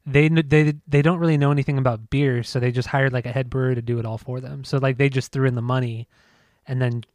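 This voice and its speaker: A man's voice: mid-range voice, fairly even intonation, fast talker, a little mellow, dry recording. Socal guy co-hosting a podcast, loose and jokey, dry close sound. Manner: laid-back, swearing, joking banter. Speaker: podcast co-host. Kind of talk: casual chat.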